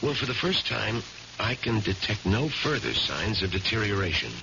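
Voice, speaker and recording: An actor playing a cautious family doctor with a deliberate, professional manner in a radio drama. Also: male voice, lowish voice, sing-song, vocal fry, pushed and bright, exaggerated voice. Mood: careful, professional. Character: kindly, cautious male family doctor on house call. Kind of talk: 1970s radio drama acting. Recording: dull, noisy old radio recording.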